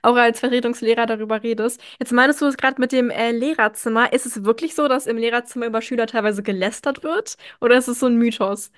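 A young woman with a somewhat high voice asks questions and chats in an easy, friendly way. She often chimes in with quick words of agreement and keeps the conversation light and casual. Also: steady tone. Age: young adult. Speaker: interviewer, host. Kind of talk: casual interview.

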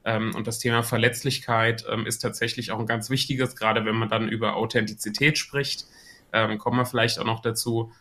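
Hessian man, bright and hesitant. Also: medium pitch, level tone, a little fast. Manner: thoughtful, many filler sounds. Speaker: podcast guest, marketing coach. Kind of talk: casual podcast interview.

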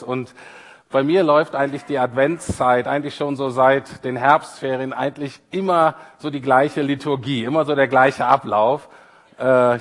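A man preaching in church, his voice a little hoarse from a cold, talking in a relaxed way with many fillers. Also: mid-range voice, big-room sound. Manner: informal, self-deprecating, with many fillers. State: has a cold, voice a bit husky. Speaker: pastor. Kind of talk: prayer and sermon.

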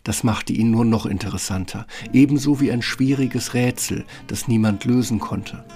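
A man narrates a fairy-tale audio play. His voice sits at a middle pitch with a creaky, gravelly grain, and faint plucked guitar plays behind him. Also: normal speed, dark tone.

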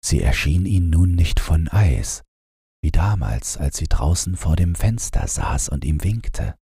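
Very deep, husky male storyteller. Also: no room sound, clean studio sound. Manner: calm, measured, gentle storytelling. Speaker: audiobook narrator. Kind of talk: bedtime fairy-tale narration, read from script.

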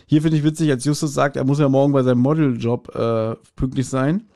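A man's voice: mid-range voice, steady tone, a little fast, warm tone. Man chatting casually as podcast co-host. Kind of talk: casual chat recapping an audio drama.

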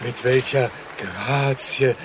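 A man's voice: mid-range voice, slow, background noise, close to an everyday voice. A man playing a naive, good-natured, lovestruck talking elephant in a children's audio drama, with a dreamy manner. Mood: smitten, dreamy.

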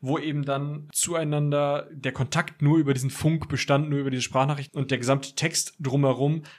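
A man's voice: fairly high pitch, fairly even intonation, fairly quick. A man speaking as a podcast guest, explaining a project in a casual way. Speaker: podcast guest, role-play creator. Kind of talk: casual explanatory interview talk.

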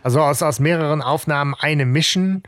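A man's voice: a little high, brisk, a bit bright, dry recording, studio quality. A man co-hosting a fan podcast, talking in a casual, hesitant, reflective way with many restarts. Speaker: podcast co-host.

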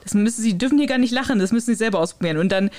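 A woman's voice: fairly high pitch, racing. Giggly woman chatting casually. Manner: giggly, animated storyteller. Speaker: podcast host. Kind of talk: casual chat, retelling anecdotes.

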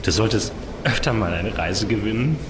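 An eager, lovestruck man, played by an actor in a radio play. His deep voice swings expressively and sounds echoey and set back from the microphone. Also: moderate pace, background noise, natural voice. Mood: amorous. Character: amorous, excited husband or boyfriend on holiday. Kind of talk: audio drama acting. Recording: echoey, distant, music behind.

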